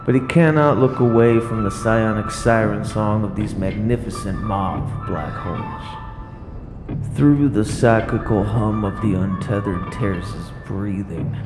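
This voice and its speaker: A man narrating a scripted radio play in a theatrical, deliberate storytelling manner. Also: lowish voice. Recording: roomy long reverb, music behind.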